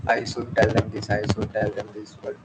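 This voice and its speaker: A man from India with an Indian accent, a Hindi speaker talking in English with many pauses, fillers and restarts. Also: fairly low pitch, completely flat delivery, very noisy. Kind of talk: casual spoken monologue.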